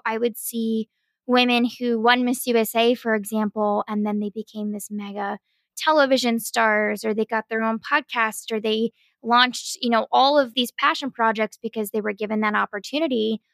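A woman's voice: a little high, gravelly, a bit bright, no room sound. An American woman aged thirty hosting a podcast, talking in a candid, informal and reflective way. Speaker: podcast host, Navy service member. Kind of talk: casual reflective conversation.

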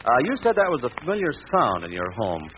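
An American man announcing a 1940s radio commercial as a sponsor's pitchman, on a tinny old broadcast. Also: huge pitch swings, fast talker, a little hiss or hum.

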